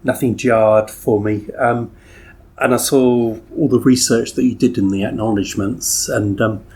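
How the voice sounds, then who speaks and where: Husky guy doing a book interview, medium pitch, kinda hesitant. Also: fairly even intonation, unhurried, darkish tone.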